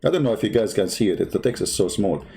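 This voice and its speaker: A man's voice: fairly low pitch, expressive, quick pace, vocal fry, warm tone, slight background noise. A man hosting a polemical commentary, mocking and insulting in an emphatic way, who sometimes hums to himself. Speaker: debate host. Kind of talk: polemical commentary over a video.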